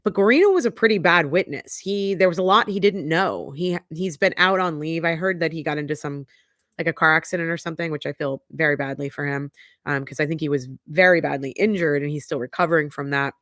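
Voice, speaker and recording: A woman's voice: deep voice, fairly quick, fairly bright tone, no echo, studio quality. A woman with a stuffy, sniffly nose hosting a casual livestream recap, chatty and bluntly opinionated, with lots of fillers. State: congested, sniffly. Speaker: livestream host. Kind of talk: casual chat, trial recap. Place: home livestream.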